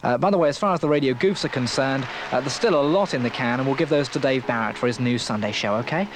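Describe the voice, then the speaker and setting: A man's voice: mid-range voice, quick pace. A man presenting live radio as a DJ, flustered and rambling. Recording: noisy old radio recording.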